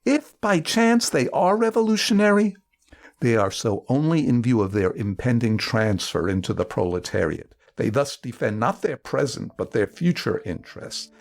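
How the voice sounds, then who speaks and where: Man giving a lively dramatic reading over music. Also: medium pitch. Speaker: reader of a political text. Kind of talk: dramatic reading of a historical manifesto.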